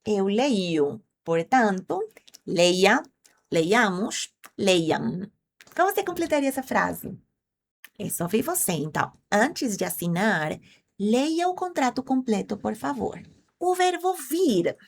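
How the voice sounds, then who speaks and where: A woman's voice: mid-range voice, very animated, takes it slowly. A woman whose first language is Spanish, teaching Portuguese in an online lesson, explaining patiently and quizzing viewers. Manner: clear, patient explaining with drilled conjugations; asks the viewers questions directly.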